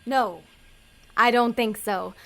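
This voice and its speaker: A woman playing a bossy, impatient demon posing as a talent developer in an audio comedy, brisk and sarcastic. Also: on the high side, gravelly, a bit bright, full character voice. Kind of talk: audio comedy drama acting.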